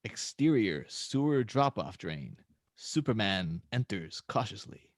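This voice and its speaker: A man's voice: fairly low pitch, sing-song, unhurried, no room sound, studio quality. A man reading out stage directions and scene headings as narrator in a script table read.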